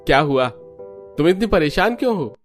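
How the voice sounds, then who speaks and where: A man's voice: fairly high pitch, expressive, normal speed, soft background music, voice pushed up, natural voice. Man playing caring young cartoon husband. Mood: concerned. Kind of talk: scripted cartoon voice acting.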